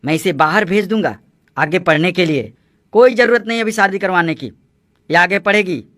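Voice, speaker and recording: A performer voices a protective elder brother in a family drama cartoon, speaking firmly and reproachfully. The voice is medium in pitch and sounds dry and close. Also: normal speed, a bit bright, dry recording, close to an everyday voice. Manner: firm, reproachful.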